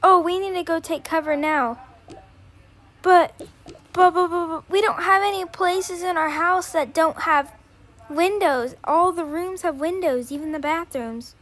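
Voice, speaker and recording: A woman's voice: very high voice, measured pace, fairly bright tone. A woman voicing a worried parent urgently taking charge in a pretend-play family drama, with faint noise behind. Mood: urgent worry.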